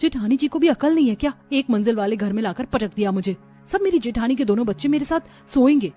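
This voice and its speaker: A woman's voice: a little high, lively intonation, fast talker, dark tone, soft background music. A woman playing a young, dramatic, exasperated new bride in a cartoon family story.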